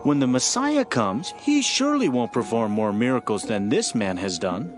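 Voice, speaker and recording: Man playing a very animated, wondering villager. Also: mid-range voice, normal speed, music in the background, lightly acted. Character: wondering believer among the festival crowd. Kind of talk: audio Bible drama acting.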